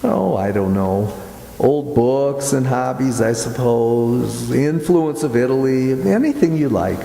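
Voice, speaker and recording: An actor playing an uneasy, imaginative man in a radio play, speaking haltingly in an echoey hall. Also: medium pitch, creaky, crowd noise. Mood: defensive. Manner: hesitant, halting, musing. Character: uneasy, imaginative man sightseeing at dusk with his sweetheart. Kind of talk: amateur radio play acting. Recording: echoey hall with long reverb.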